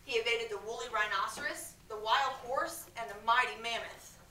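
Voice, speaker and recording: An American woman reads a story aloud in a high, projected schoolteacher voice. She is expressive and breaks off to question her pupils. She is picked up from across an echoey classroom with faint noise behind her. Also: moderate pace, distant mic. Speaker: elementary school teacher. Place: medium-sized school classroom, indoors. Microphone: far camera.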